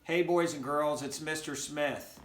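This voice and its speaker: Higher-voiced man reading aloud, echoey room. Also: measured pace. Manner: expressive, addressing children directly. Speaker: school educator reading aloud. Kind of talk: children's picture-book read-aloud. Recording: roomy, echoing home recording.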